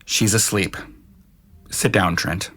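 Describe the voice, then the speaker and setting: A man playing a stern, protective middle-aged uncle in an audio drama, speaking firmly in a measured, admonishing way. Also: lowish voice, steady tone, fairly bright tone. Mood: stern, reproachful.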